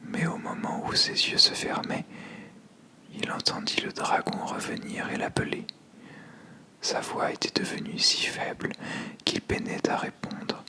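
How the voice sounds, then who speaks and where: A storyteller narrating a scripted fable softly and deliberately in an ASMR style.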